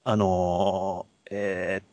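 A man co-hosting a podcast, discussing films casually and politely in short, halting phrases. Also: sits low, flat delivery, a little mellow, no echo. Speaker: podcast co-host.

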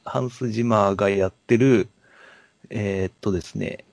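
A man co-hosting a podcast, talking casually about films and pausing to search for words. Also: lowish voice, steady tone, a little mellow, dry recording. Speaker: podcast co-host. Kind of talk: casual film discussion.

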